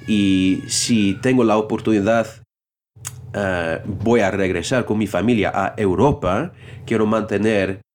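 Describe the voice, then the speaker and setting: A man's voice: on the low side, fairly even intonation, unhurried, slight background noise. A man in a casual chat, talking hesitantly, often restarting and searching for words. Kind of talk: casual conversation.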